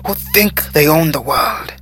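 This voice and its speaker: A man's voice: on the low side, wildly swooping pitch, normal speed, vocal fry, music in the background, exaggerated voice. A man voicing an angry, bitter young female student in a dramatic story reading. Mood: furious, loud.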